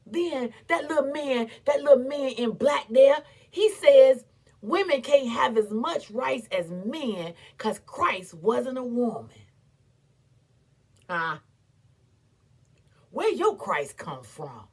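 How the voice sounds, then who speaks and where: A woman giving a dramatic, theatrical recitation as an impassioned, defiant, weary but proud orator, with emphatic repetition and long pauses. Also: high voice, animated, a little mellow, slightly rough. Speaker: performer reciting a historical speech.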